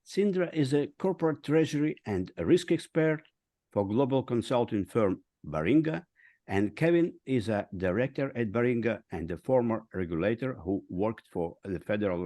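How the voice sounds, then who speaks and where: A man hosting a podcast interview in a formal, halting manner. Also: fairly low pitch, expressive, warm tone, no echo. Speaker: podcast host.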